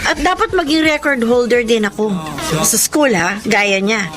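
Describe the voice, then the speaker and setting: Casting: hurt, overshadowed younger sister in Filipino drama, high female voice, very animated intonation, Filipino accent. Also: unhurried. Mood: aggrieved, hurt. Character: resentful younger sister, insecure, hurt, overshadowed by her sibling. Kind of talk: Filipino radio drama acting.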